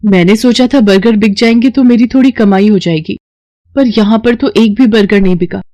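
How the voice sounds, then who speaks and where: A woman's voice: medium pitch, moderate pace, dark tone, dry recording, pitched above the performer's own voice, natural voice. A woman voicing an earnest, hopeful young wife and mother in a cartoon story, with a pleading manner. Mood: worried.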